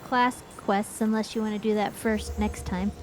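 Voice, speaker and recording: A woman's voice: medium pitch, steady tone, normal speed, darkish tone. A woman co-hosting a gaming stream, chatting casually as she plays. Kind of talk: casual chat while playing. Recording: clear game ambience noise behind. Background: game ambience.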